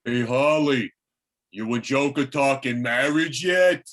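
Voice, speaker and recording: Man as teasing circus clown, slow delivery, medium male pitch, audio drama. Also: fairly bright tone.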